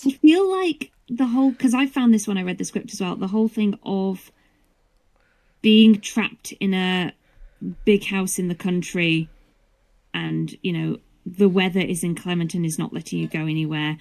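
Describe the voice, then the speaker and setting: A woman's voice: fairly low pitch, moderate pace, vocal fry, a little mellow. A woman, a voice actor appearing as a guest, chatting casually on a livestream in a playful, emphatic way.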